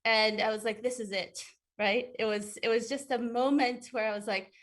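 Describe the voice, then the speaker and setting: A woman's voice: a little high, fairly even intonation, moderate pace, fairly bright tone. A woman from Ecuador, a guest in an interview, answering thoughtfully with frequent fillers and restarts.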